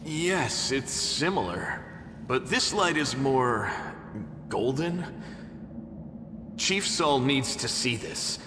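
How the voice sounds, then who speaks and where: A man's voice: medium pitch, expressive, slow pace, pauses often, noisy background. An actor playing an earnest, humble young mongrel man in a video game, speaking emphatically in an echoey hall over music. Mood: hopeful.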